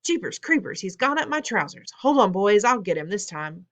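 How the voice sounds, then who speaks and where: A woman reading aloud and voicing a frantic male kitchen cook who shrieks and yells for help. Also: mid-range voice, very animated, moderate pace. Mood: panicked.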